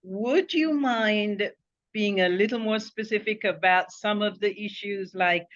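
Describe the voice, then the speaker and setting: A woman's voice: medium pitch, a little slow, a bit bright. A woman hosting a casual interview, encouraging her guest and laughing often. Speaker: interviewer, program host. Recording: dull lo-fi call audio.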